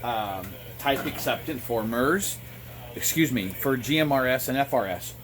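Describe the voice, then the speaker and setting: A man giving an informal, halting explanation to camera as a hobbyist radio vlogger. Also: mid-range voice, expressive. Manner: halting, explanatory, apologetic asides. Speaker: amateur radio operator and vlogger. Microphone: phone front camera. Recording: noisy phone recording with background radio. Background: two-way radio sounding in background.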